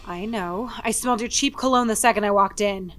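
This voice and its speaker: A woman's voice: mid-range voice, a little fast, fairly bright tone, soft background music, lightly acted. A woman playing a sly, mocking cat burglar in a dramatic table read. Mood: sardonic teasing.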